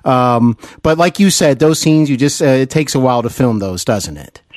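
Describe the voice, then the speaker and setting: A man hosting an interview podcast, asking questions conversationally in a deliberate, emphatic way. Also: mid-range voice, lively intonation, a little mellow, no echo.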